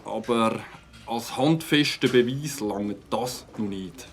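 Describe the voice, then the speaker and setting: A man's voice: mid-range voice, slow pace, vocal fry. An actor playing a stern, pedantic village policeman with a formal, officious manner in an audio drama. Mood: measured, official.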